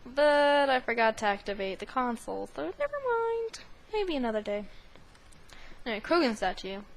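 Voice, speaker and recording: A woman's voice: fairly high pitch, very animated, normal speed, creaky, slight background noise, rough recording. A woman giving casual, silly commentary while playing a video game, with sing-song asides. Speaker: gaming video host. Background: video game sound.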